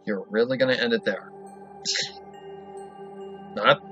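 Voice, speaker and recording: A man reacting to a story, excitable and thinking out loud, swearing now and then. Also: medium pitch, slow, music in the background. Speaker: reaction video host. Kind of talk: casual reaction commentary. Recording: dull lo-fi home recording.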